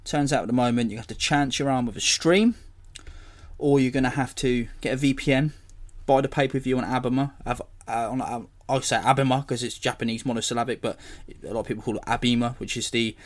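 A hoarse man, hosting a casual solo podcast and rambling as he corrects himself. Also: mid-range voice, fairly even intonation, fast. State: hoarse from illness. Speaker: podcast host.

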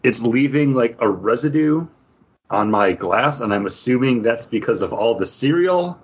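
A man chats casually as a podcast co-host, describing things in an easy conversational flow. His voice is a little rough and husky, with animated intonation. The audio is slightly muffled. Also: medium pitch, normal speed.

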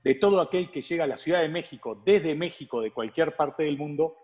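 A man's voice: a little high, brisk, darkish tone. A man answering interview questions in a conversational way, as a business guest. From Uruguay. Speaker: advertising agency partner, interview guest. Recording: dull audio with long reverb.

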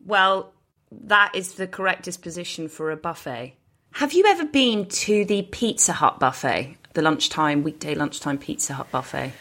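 British female podcast host, deep-voiced, chatty and informal delivery. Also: expressive, normal speed, a bit bright. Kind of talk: casual conversational podcast chat.

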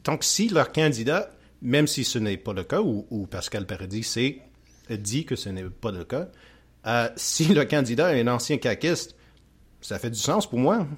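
A man's voice: mid-range voice, animated, normal speed, breathy edge. A man with an English Canadian accent, a native English speaker, co-hosting a political podcast in French, hesitant and often searching for words. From Gaspésie, raised in eastern Ontario. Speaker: podcast co-host. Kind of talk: casual political podcast chat.

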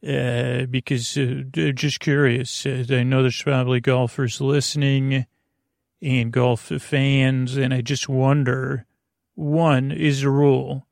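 A middle-aged man with a creaky, gravelly voice hosting a bedtime podcast, rambling haltingly with frequent restarts and digressions, recorded in a small closet at home. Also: mid-range voice, flat delivery, a little mellow, no room sound, clean studio sound. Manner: halting, digressive, constantly restarting mid-thought. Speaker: host of a bedtime sleep podcast. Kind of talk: rambling, meandering bedtime monologue.

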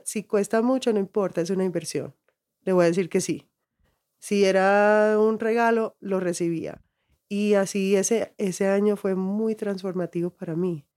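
A woman's voice: mid-range voice, mellow. A middle-aged woman, raised in the United States, reflectively telling her life story as an interview guest, restarting phrases often. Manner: reflective, frequent restarts and laughs.

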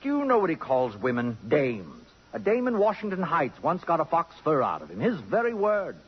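Radio drama: possessive, acid-tongued sophisticate, very animated delivery, dull vintage broadcast sound. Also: male voice, on the high side, moderate pace, breathy edge, exaggerated voice. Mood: disdainful. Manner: pompous, cutting, theatrical. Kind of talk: 1950s radio drama acting. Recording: dull lo-fi 1950s radio recording.

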